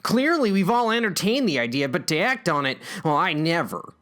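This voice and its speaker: A man's voice: high-pitched, big pitch swings, normal speed, fairly bright tone. A man voicing a naive, put-upon young boy in a comic story, hesitant and plaintive. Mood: taken aback.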